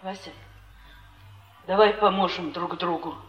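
An actress playing a scorned, scheming, passionate wife in an intense, theatrical Russian stage drama, with a pleading delivery. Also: female voice, fairly low pitch, steady tone, unhurried, fairly bright tone, soft background music. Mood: scheming, urgent. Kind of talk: Soviet 1950s-70s stage drama acting.

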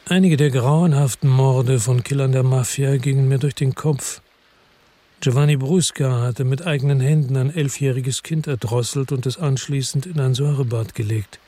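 A man's voice: mid-range voice, steady tone, normal speed, mellow, close to an everyday voice. An actor playing an embittered, defiant male loner in a radio crime drama, speaking in a terse, sardonic, evasive way. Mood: bitter, brooding. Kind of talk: radio crime drama with first-person narration.

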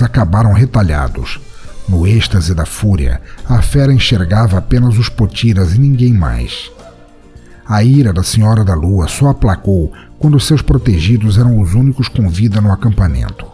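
A man hosting a podcast and narrating a story in a measured, dramatic storytelling manner. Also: lowish voice, dark tone.

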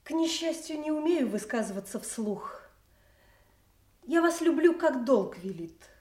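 A woman's voice: high-pitched, expressive, measured pace, a little mellow, echoey room. An actress playing a king's honest, steadfast youngest daughter, earnest and restrained in a classical audio drama. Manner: earnest, plain-spoken, restrained.

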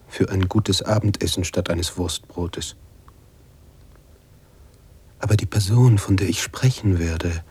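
An actor playing a brooding male writer who narrates his own story in a measured radio-play monologue. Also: lowish voice, dark tone, slightly rough.

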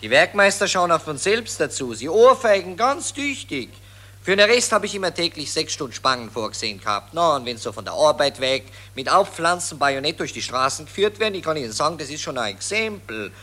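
An actor playing a callous, boastful Austrian army officer with a colloquial Viennese accent in a 1940s radio play. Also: male voice, fairly high pitch, very animated, a little fast, pushed and bright, exaggerated voice. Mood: boastful, indignant. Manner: smug, colloquial, anecdotal. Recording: vintage 1940s radio recording.

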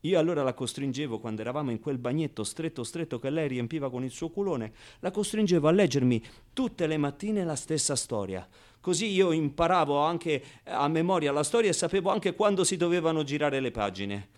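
A scrappy, wounded orphan tells his childhood story in a radio play, acted by a man. The voice sits a little high. The delivery is colloquial and theatrical, full of halting repetitions, moving between outbursts and quiet confiding. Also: expressive, natural voice. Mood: wry, confiding. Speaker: stage actor performing a monologue. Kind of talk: theatrical first-person radio monologue.

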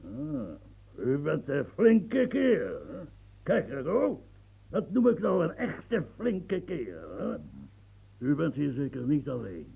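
Proud old marshal, gravelly, husky, lively swings, radio play. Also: male voice, fairly high pitch, slow, exaggerated voice, rough recording. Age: older. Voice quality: gravelly, coughing. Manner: swings from mumbling to proud outbursts. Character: aging gruff old marshal, proud and blustering.

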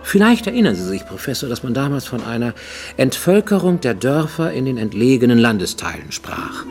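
An actor playing a brooding Japanese man on the run, confessing in a husky, intense radio play monologue that drops to near-whispers. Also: mid-range voice, very animated, a little fast, a little mellow, a little hiss or hum. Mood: defensive, weary.

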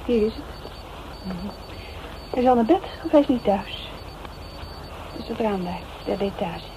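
A woman's voice: medium pitch, lively intonation, moderate pace, dark tone, lightly acted. An actress playing an anxious, loyal young woman in a Dutch radio crime drama, colloquial and questioning. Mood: nervous. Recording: noisy, narrow-band old radio drama recording.

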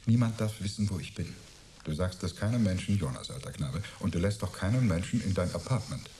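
An actor playing a cocky, jovial astronaut in a radio play, talking in a chummy, mocking, theatrical way. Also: male voice, low voice, expressive, fairly quick, dark tone, a little hiss or hum. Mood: wheedling, breezy. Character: cocky, jovial male astronaut, wheedling old war buddy.

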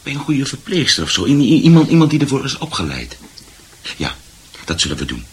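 A male actor playing a grudging, tight-fisted middle-aged husband in a radio drama, husky and stumbling over repeated words. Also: mid-range voice, wildly swooping pitch, a little fast, a little hiss or hum. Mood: concerned, grudging. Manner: stammering repetition of words. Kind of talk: Dutch radio drama acting.

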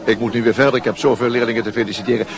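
A man's voice: medium pitch, flat delivery, rapid-fire, vocal fry, close to an everyday voice. A man acting a genial, paternal middle-aged schoolmaster with an avuncular, probing manner in a radio drama. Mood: kindly, probing. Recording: busy crowd noise behind. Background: crowd chatter.

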